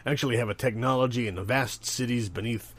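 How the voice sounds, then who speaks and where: A man improvising as a comedy interviewer, with a halting, mock-serious way of talking. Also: mid-range voice, a bit bright.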